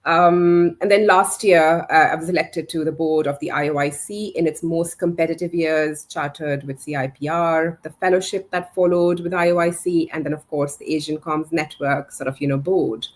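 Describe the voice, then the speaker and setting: A woman's voice: deep voice, fairly even intonation, normal speed, few pauses. A woman in her forties, not a native English speaker, telling her career story as an interview guest, with many fillers and restarts. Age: 40s. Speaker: interview guest, communications leader. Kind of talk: reflective career story in a live chat.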